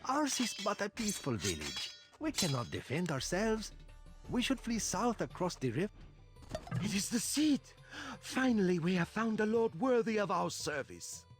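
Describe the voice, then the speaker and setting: An actor playing medieval soldiers and villagers addressing their lord in formal, declamatory game dialogue. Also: male voice, high voice, wildly swooping pitch, normal speed, over music. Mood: urgent. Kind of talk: dramatic video game unit dialogue.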